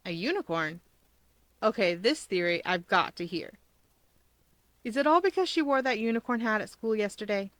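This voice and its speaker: A woman's voice: medium pitch, lively intonation, moderate pace, long pauses, fairly bright tone, dry recording, natural voice. A woman voicing a cheerful, easygoing schoolboy with an upbeat, casual manner in a story reading. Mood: amused. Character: cheerful, easygoing schoolboy humoring his friend. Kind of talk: audiobook character voice.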